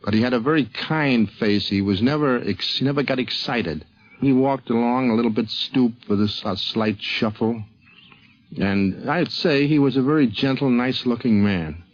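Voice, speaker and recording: An older man giving an informal, plain-spoken, slightly halting reminiscence on 1950s radio. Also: mid-range voice, lo-fi sound. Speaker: interviewee, cartoonist. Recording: 1950s radio recording, dulled sound.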